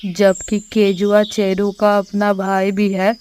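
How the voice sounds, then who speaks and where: A woman's voice: mid-range voice, completely flat delivery, takes it slowly, a little mellow, a little hiss or hum, lightly acted. A woman voicing a wistful, doubtful forest animal in a children's cartoon fable.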